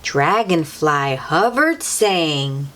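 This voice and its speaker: A woman's voice: deep voice, drawn-out words, slight background noise. A woman reading a picture book aloud to young children in an encouraging, sing-song manner, as the host. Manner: animated, encouraging, sing-song talk addressed to small children.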